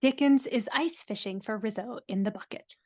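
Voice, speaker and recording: A woman reads stage directions for a live dramatic reading. Her voice is on the high side, heard over a video call. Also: normal speed, a little mellow. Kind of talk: scripted narration in a live reading.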